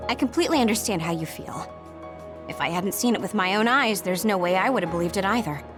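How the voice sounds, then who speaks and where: An actress playing a calm, understanding squadmate who explains things in a video game. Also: female voice, mid-range voice, fast talker, music in the background, lightly acted. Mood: calm, explanatory. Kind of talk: English video game dub acting.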